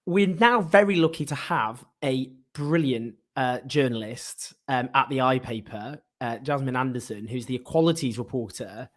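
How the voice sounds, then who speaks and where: A man's voice: medium pitch, normal speed, hardly any pauses. Upbeat man hosting a video interview. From Northern England. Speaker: host. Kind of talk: casual online interview.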